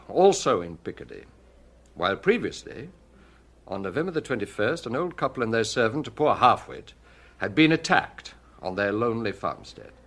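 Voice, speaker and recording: A relentless police detective in a radio drama. His pitch is middling but swings widely as he presses on, deliberate and sardonic, with a hard edge. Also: a bit bright. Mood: cold, methodical. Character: relentless, hard-edged male police detective interrogating a suspect.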